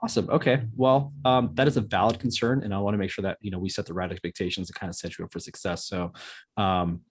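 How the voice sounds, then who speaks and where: A man's voice: on the low side, steady tone, fast talker, husky. A man speaking as a real estate agent on a practice sales call, politely affirming, with an occasional stammer. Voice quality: occasional stammer, gets hung up on words. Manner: polite, repeats back and affirms often. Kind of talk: role-played phone sales call.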